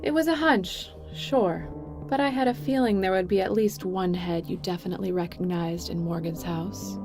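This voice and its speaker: A woman playing a poised, calculating corporate executive in an audio drama, speaking in a measured, formal and coolly composed way. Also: on the low side, lightly acted. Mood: composed, knowing. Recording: music under the dialogue.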